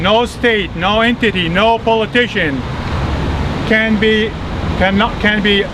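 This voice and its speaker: A man from Iraq, giving a formal protest speech at an outdoor rally, measured and correcting himself as he goes. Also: high voice. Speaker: rally speaker. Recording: noisy outdoor recording.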